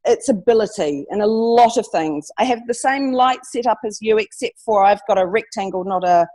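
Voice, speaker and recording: A woman from New Zealand with a Kiwi accent, a photographer guest chatting casually, friendly and quick to laugh. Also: mid-range voice, dark tone. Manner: friendly, laughing, a little self-deprecating. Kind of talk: casual interview chat.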